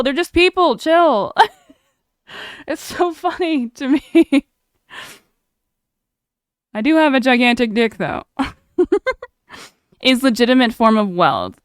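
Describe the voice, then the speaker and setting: A woman's voice: sits high, fairly bright tone, dry recording, studio quality. A young woman streamer chatting casually, reading chat aloud and laughing often.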